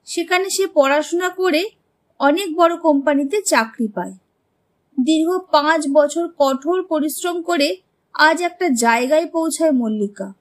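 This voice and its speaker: A woman narrating a scripted animated story as its storyteller. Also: high voice, measured pace.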